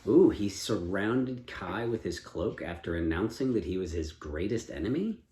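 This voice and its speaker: A man improvising a story as a storyteller, speaking in a deliberate, inquisitive and encouraging manner. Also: sits low, animated, mellow. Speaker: storyteller and co-host with a child. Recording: echoey room, faint background noise.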